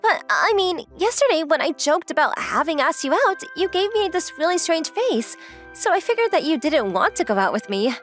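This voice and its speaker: Casting: a woman plays a girlish young manga-dub heroine, high-pitched and lively, relaxed, affectionate and playfully teasing. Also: normal speed, faint music behind, heavily acted. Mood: earnest. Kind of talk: acted anime-style dialogue.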